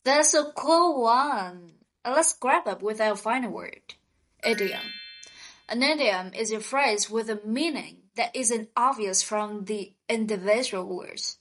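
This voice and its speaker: A woman co-hosting a scripted vocabulary lesson, speaking in a slow, clear teaching manner. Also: fairly high pitch, a bit bright. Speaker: podcast co-host.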